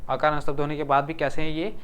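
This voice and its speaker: A man's voice: a little high, little pitch movement, fast talker, fairly bright tone, a little hiss or hum. A man with a Chhattisgarh Hindi accent teaching a grammar lesson for an exam, repeating words and often checking that the class follows.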